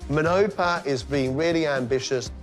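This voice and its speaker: A man's voice: on the high side, moderate pace, over music. Man judging a cooking contest. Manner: enthusiastic, explanatory. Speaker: cooking competition judge. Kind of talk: judging commentary.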